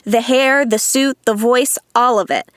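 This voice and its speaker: A woman playing a sharp, brash detective's secretary with a blunt, no-nonsense manner in a noir radio drama. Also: on the high side, fairly even intonation, unhurried, no echo, studio quality. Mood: brisk, skeptical. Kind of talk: 1940s noir radio acting.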